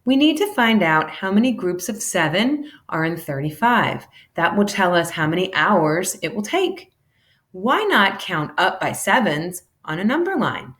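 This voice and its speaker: A woman giving an animated, deliberate read-aloud of a children's educational storybook, shifting between character lines. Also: medium pitch. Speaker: teacher reading a children's math book aloud.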